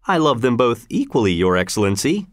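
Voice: medium pitch, sing-song, brisk, no echo, studio quality, lightly acted. A reader acting out a pleading, anguished father. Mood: guarded, respectful. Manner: deferential, pleading. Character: proud, anguished father, a respectful archer.

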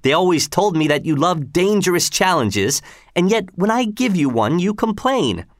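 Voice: mid-range voice, very animated, moderate pace, no echo, studio quality, pitched above the performer's own voice, natural voice. A narrator voicing a cruel male tyrant governor in an audiobook, mocking, commanding and falsely reasonable. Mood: stern, taunting.

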